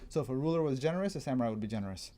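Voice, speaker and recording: Mellow-voiced man explaining history casually. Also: mid-range voice, lively intonation, racing. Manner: thinking out loud, digressive, informal. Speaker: guest martial arts enthusiast explaining history.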